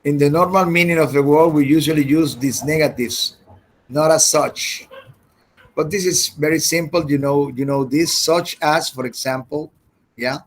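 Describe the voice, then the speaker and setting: A man who speaks Spanish as his first language, teaching an English grammar class online, halting and repeating his words as he explains. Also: fairly high pitch, fairly even intonation, poor audio.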